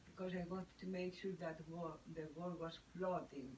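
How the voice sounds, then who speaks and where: A man in casual discussion of poetry, explaining things in short, halting fragments. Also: high voice, flat delivery, a little mellow, low-quality recording.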